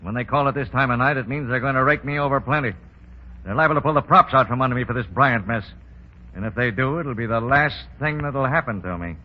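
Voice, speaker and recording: A man's voice: medium pitch, fast, lightly acted. A man acting a shrewd American racketeer boss in a 1930s radio drama, with slangy, sardonic, commanding talk. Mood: grim. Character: smooth, shrewd male racketeering crime boss. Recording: dull 1930s radio broadcast.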